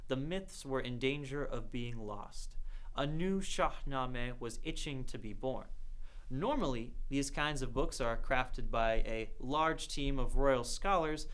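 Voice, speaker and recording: Male documentary presenter-narrator, mid-pitched voice, scripted and measured storytelling delivery. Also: a bit bright, clear, resonant tone.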